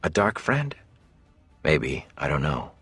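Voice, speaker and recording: A male narrator plays a sullen young man on the run in a fantasy story. He mutters uneasily in a low voice with a very dry sound. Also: very animated, slow, a bit bright, slightly rough, dry recording, soft background music, exaggerated voice. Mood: uneasy.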